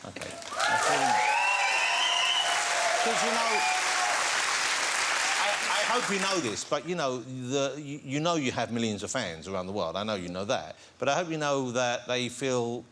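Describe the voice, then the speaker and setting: A man hosting a talk show, interviewing guests with a halting, repetitive way of phrasing questions. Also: medium pitch, expressive, a loud crowd, heavy background noise. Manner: hesitant, repeats words.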